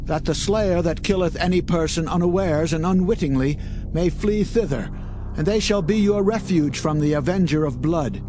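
High-voiced actor as biblical lawgiving man. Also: moderate pace, natural voice. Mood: formal instruction. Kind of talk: dramatized scripture speech. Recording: clear background ambient noise.

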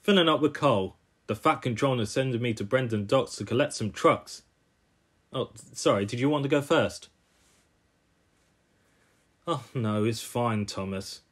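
A man plays a young cartoon tank engine, earnest and polite. He speaks gently in a mid-range voice, eager to be friends and quick to apologise. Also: medium pitch. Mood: apologetic.

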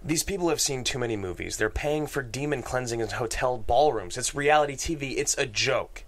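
Man playing skeptical priest, blunt, incredulous, medium pitch, scripted drama. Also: lively intonation, a little fast. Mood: exasperated. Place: small podcast studio.

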